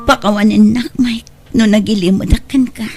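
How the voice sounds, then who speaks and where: A woman's voice: medium pitch, steady tone, moderate pace, a little mellow, soft background music, natural voice. An actress with an Ilocano accent playing a guilty, secretive married mother in a radio drama, urgent and pleading. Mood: remorseful. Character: guilty, secretive married mother who left her child.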